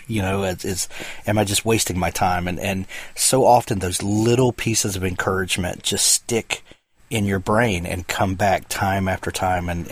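A man interviewing an author, speaking haltingly with many fillers and restarts. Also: low-pitched, breathy edge, dry recording. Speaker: interviewer host. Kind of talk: interview conversation.

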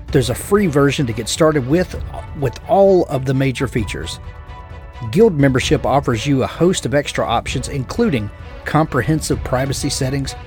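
A man's voice: medium pitch, a little mellow. Man reading an upbeat sponsor ad. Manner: upbeat, promotional. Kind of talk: scripted ad read. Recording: faint music behind.

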